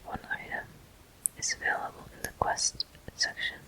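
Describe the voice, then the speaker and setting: Soft, bright ASMR whisper. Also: poor audio. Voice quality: breathy, unvoiced whisper. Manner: soothing, unhurried, softly encouraging. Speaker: game streamer. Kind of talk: whispered ASMR gameplay commentary.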